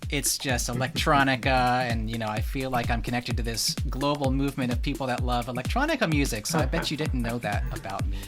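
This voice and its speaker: An American man speaks as a podcast host. He is informal and chatty, with a fairly low voice and some music in the recording. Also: fairly quick, few pauses. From United States. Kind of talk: casual podcast conversation.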